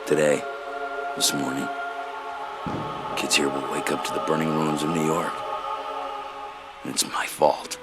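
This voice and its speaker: An actor playing a somber, guilt-ridden war hero in a video game, subdued and brooding. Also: male voice, very deep voice, level tone, a little slow, long pauses, loud music behind the voice. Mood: guilty. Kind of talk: video game cutscene acting.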